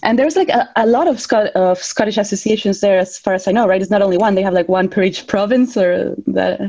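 A woman's voice: a little fast, dark tone. A woman hosting a podcast interview, asking questions in a friendly, curious way. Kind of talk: conversational interview. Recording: muffled, low-fidelity audio.

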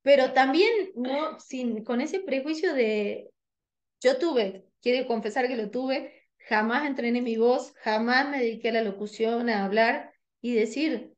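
A woman's voice: unhurried, lo-fi sound. A woman with a Tucumán Argentine accent, co-hosting a radio podcast and speaking in a sincere, reflective way. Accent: Tucumán Argentine Spanish. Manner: sincere, reflective, self-described high-pitched voice. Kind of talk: casual radio chat.

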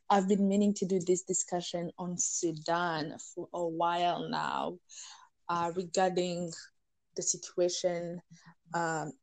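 An African woman hosts a podcast chat, upbeat and encouraging, with plenty of ums and hums while her guest talks. Her voice sits a little low for a woman. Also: a little mellow, poor audio. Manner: upbeat, many ums and back-channel hums. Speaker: podcast host. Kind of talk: casual interview hosting.

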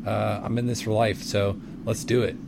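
A man with a hoarse, gravelly voice worn rough by a cold chats casually on a podcast. He talks from a home office, with clear steady noise running under his voice. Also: lowish voice, moderate pace. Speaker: podcast co-host. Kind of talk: casual chat.

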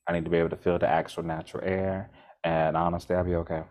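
Deep-voiced man rambling in podcast chat. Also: quick pace. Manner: rambling, restarts often, animated bursts. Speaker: podcast co-host.

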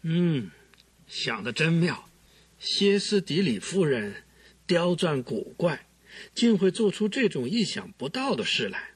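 An impressed, wondering fellow in a radio drama. His voice is fairly high and raspy, and he draws out his words slowly. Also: big pitch swings, full character voice. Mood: amazed. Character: impressed male listener marvelling at a detective's deduction. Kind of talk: Chinese radio drama acting.

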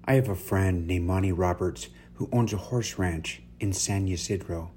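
Low-voiced man reading an inspirational story. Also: moderate pace, warm tone. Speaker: story reader, host. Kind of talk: scripted inspirational story narration. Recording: noticeable background noise and hum.

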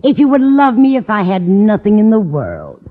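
An actress playing a sentimental, fretful older woman in love, pleading melodramatically in a radio sitcom with a husky voice. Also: medium pitch, wildly swooping pitch, moderate pace. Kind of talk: 1950s radio sitcom acting. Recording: dull old radio recording, audience behind.

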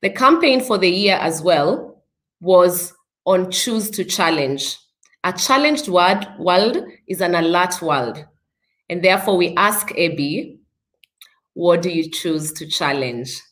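A woman's voice: fairly low pitch, lively intonation, slow pace, a bit bright. A Kenyan woman hosting a podcast interview over a video call, with upbeat, speech-like introductions. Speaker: podcast host. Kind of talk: live online interview.

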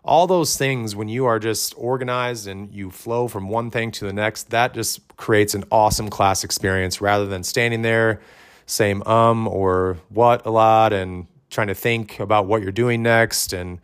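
A man hosting a podcast, giving loud, direct coaching advice in casual talk, with many ums and restarts. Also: on the low side, steady tone, a little slow, smooth. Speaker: fitness coach and podcast host.

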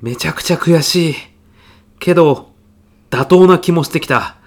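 A man's voice: fairly high pitch, measured pace. Actor as casual middle-aged male manager, reactive, blustering then easily chastened, comic audio drama. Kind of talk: comic radio drama acting.

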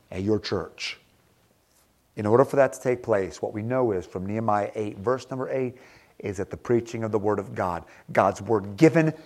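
A man's voice: fairly low pitch, a little mellow. A man preaching a sermon, earnest and emphatic, repeating words for stress, then leading a prayer. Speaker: pastor.

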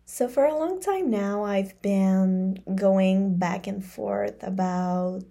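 Slow, mellow-voiced podcast host, French native. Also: female voice, mid-range voice, gravelly. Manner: slow, thoughtful, repeats phrases for emphasis. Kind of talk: reflective solo podcast monologue.

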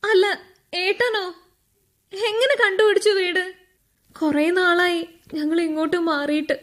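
A very high, young female voice for a radio drama. She is a hard-headed younger sister, defensive and cold, who reproaches in a practical, unsparing way. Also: fairly even intonation, unhurried, a bit bright. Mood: uneasy. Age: young adult. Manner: reproachful, pragmatic.

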